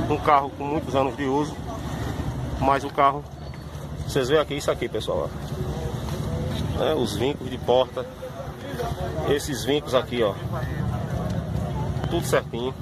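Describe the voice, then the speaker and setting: A man, giving a casual, informal walkaround of cars at an outdoor car fair, filmed on a phone. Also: medium pitch. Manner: chatty, informal, repeats "pessoal". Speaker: car vlogger. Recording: outdoor street noise behind. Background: traffic and crowd ambience.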